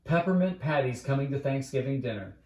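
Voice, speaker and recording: A man's voice: medium pitch, lively intonation, a little fast, a little mellow, natural voice. A man voicing a gloomy, wishy-washy young boy who sighs, hesitates and complains in a read-aloud storybook, in a roomy recording. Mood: hesitant, resigned.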